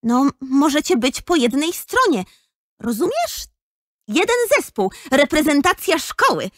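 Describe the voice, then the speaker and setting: Female actor doing caring schoolgirl in a kids audio play, high, unhurried, super expressive. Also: dry recording, clean studio sound. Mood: concerned, persuasive. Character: earnest, caring, persistent schoolgirl. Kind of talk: children's audio drama acting.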